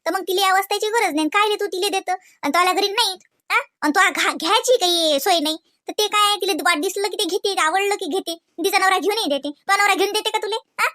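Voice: very high-pitched, fairly quick, hardly any pauses, bright, forceful tone. A performer voicing chatty, fussy village women in a comedy cartoon, with a rural Varhadi Marathi accent and a nagging, colloquial way of talking full of questioning tags. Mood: insistent, nagging. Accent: rural Varhadi Marathi, Vidarbha. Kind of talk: comedy cartoon dialogue in rural household chat.

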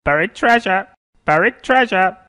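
Excitable talking parrot, children's cartoon; bright, forceful squawk, swooping delivery. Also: medium pitch, unhurried. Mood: excited. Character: excitable talking parrot reading map directions. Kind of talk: children's cartoon story acting.

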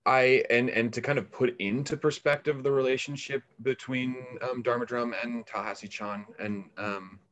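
A middle-aged man answering interview questions reflectively on a video call, halting as he searches for words. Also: mid-range voice, fairly even intonation, fairly bright tone, highs cut off. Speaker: interviewed volunteer, meditation practitioner. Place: indoor room on a video call. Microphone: video call microphone.

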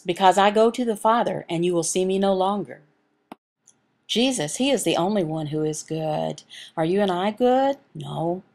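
Creaky-voiced woman voicing a sermon. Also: medium pitch, a little mellow. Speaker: voice interpreter reading a sermon. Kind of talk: sermon voiced over sign language, with scripture reading.

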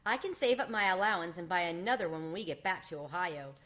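A woman's voice: lowish voice, fast talker, a bit bright, close to an everyday voice. A woman voicing a friendly, generous schoolboy in an earnest, coaxing way for a children's storybook.

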